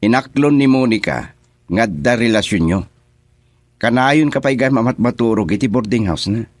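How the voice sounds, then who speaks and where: A man's voice: medium pitch, expressive, moderate pace, dry recording, lightly acted. A man acting a cold, taunting schemer in a radio drama, speaking in a menacing way.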